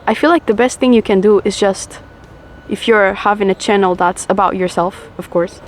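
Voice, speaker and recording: A young woman in her twenties, from Portugal, with a low voice, chatting reflectively and a bit ramblingly to camera while smoking. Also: a little hiss or hum. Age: 20s. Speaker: vlogger. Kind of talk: casual chat to camera.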